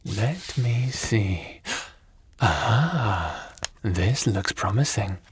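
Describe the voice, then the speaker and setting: Man playing a slow, deep, formal spirit being. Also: expressive, a little hiss or hum. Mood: calm, studious. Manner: measured, formal, pensive phrasing. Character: calm, curious, formal god-like spirit with secret powers. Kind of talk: ASMR audio roleplay acting.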